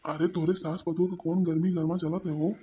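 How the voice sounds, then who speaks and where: A man's voice: a little high, level tone, fairly quick, heavy background noise, natural voice. A man with an Awadhi accent voicing a teasing, incredulous village husband in a comedy cartoon. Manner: mocking, teasing.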